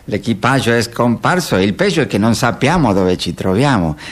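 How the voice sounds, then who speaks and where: A man playing a solemn, eager roving reporter giving a halting, grave live report in radio theatre. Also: mid-range voice, lively intonation, voice pushed down, natural voice.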